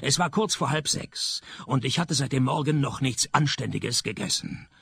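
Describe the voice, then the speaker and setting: An actor playing a sardonic, world-weary private detective in a comic noir radio play, speaking dryly. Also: male voice, mid-range voice, normal speed, gravelly, slightly rough, exaggerated voice. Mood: dry, resigned. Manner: dry, deadpan, sarcastic.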